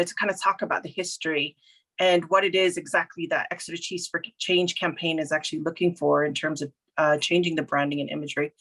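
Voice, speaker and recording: A woman's voice: fairly low pitch, a bit bright, poor audio. A woman hosting a panel discussion, upbeat and encouraging toward the guests. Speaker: panel host.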